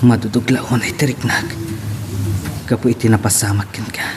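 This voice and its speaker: A man's voice: fairly low pitch, little pitch movement, moderate pace, background noise, lightly acted. A man with an Ilocano accent playing a heartbroken, devoted young lover in an audio melodrama, speaking with tender sorrow. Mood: tender grief. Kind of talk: Ilocano radio-style melodrama acting.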